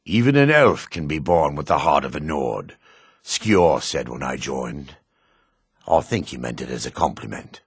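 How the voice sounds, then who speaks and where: An actor playing a proud, gruff dark elf warrior in a fantasy game, with a raspy voice and a haughty, clipped, sardonic manner. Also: male voice, extremely low pitch, very animated, a little slow, dry recording, studio quality, full character voice. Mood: solemn, proud. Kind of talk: fantasy video game character dialogue.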